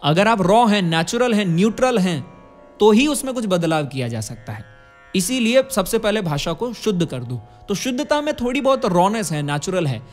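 A young man with a high voice and an Indian accent gives a singing and diction lesson. He explains step by step and demonstrates sounds, speaking into a close studio mic with faint music behind him. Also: sing-song, normal speed. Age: 20s. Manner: explanatory, demonstrates sounds, checks in with tag questions. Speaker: vocal music teacher. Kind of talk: instructional talk on singing and diction. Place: indoor curtained studio. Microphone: studio condenser microphone close in front.

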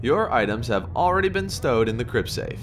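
A man's voice: mid-range voice, big pitch swings, a little fast, slight background noise, natural voice. A man voicing a polite, formal male train concierge in a fan comic dub, courteous and a bit flustered.

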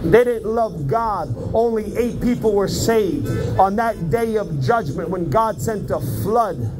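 A high-voiced man delivers a fervent street sermon outdoors. He declaims in short, repeated exhortations, urging his listeners on. Loud music plays behind his voice. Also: animated, slow pace, rough recording. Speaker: street preacher. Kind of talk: fervent open-air revival sermon.

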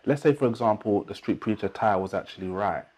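A man's voice: fairly low pitch, a little fast, darkish tone, slightly rough, dry recording. A man in his thirties hosting a channel, talking to camera indoors and earnestly explaining a story through scripture. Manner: earnest, explanatory, leans heavily on "basically". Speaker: channel host. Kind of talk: Bible-based commentary on a news story.

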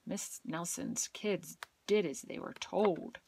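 Slow, gentle woman reading a picture book. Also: lowish voice, vocal fry, slight background noise. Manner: gentle, expressive storytelling. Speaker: educator and child care provider reading aloud. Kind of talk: children's picture book read-aloud.

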